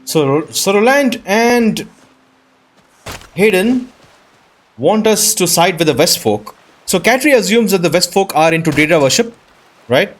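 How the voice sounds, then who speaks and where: A man's voice: high voice, lively intonation, a little slow, pauses often, slight background noise. A man doing casual game commentary, thinking aloud in an informal, reactive way. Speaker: gaming video host playing and commenting. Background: game music.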